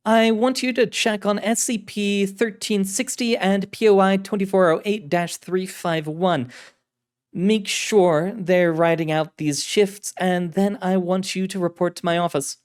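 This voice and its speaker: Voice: medium pitch, fairly even intonation, a little slow, clean tone, voice pushed up, lightly acted. A narrator voicing an authoritative female director in an audio story, giving orders in a composed, formal, commanding manner. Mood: brisk, commanding. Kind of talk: audio story character dialogue.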